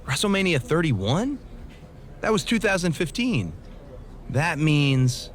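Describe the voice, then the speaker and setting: A man's voice: a little high, very animated, slow. A man playing an exasperated, sardonic pro wrestler hero in a video game cutscene, with dry, sceptical delivery. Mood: dismayed.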